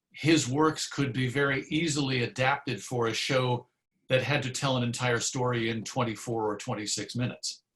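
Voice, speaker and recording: A man's voice: mid-range voice, fairly bright tone. Articulate man telling anecdotes in an interview. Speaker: interview guest, radio historian. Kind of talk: conversational interview storytelling.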